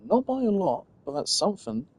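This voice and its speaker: A man's voice: sits high, very animated, fairly quick, slightly rough. A man giving casual, rambling gaming commentary, thinking aloud as a streamer. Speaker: game streamer.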